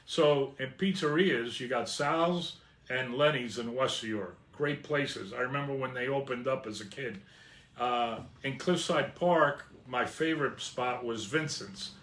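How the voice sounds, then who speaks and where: A man in his fifties with a New Jersey accent, reminiscing in a relaxed way with lots of "uh" fillers. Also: mid-range voice, a little slow, reverberant. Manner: relaxed, many "uh" fillers, warms up excitedly about food. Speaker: vlogger. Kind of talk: casual reminiscing monologue.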